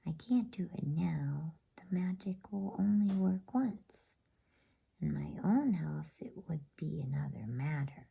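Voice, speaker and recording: A woman's voice: sits low, lively intonation, slow pace, creaky, warm tone, breathy edge, dull audio, full character voice. A woman voicing a coaxing, imperious, inquisitive witch queen in a whispered bedtime storybook reading.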